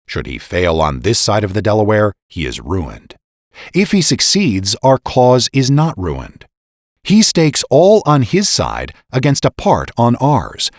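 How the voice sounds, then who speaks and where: A man's voice: on the low side, dry recording, clean studio sound. A man giving a dramatic reading of a historical pamphlet as formal oratory, with emphatic, rhetorical delivery.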